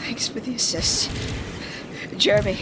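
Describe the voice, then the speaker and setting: A woman's voice: mid-range voice, measured pace, heavy background noise, close to an everyday voice. A woman playing a tough, sardonic survivor in an audio drama, with a blunt, wry manner. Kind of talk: scripted audio drama acting. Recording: loud music and effects behind.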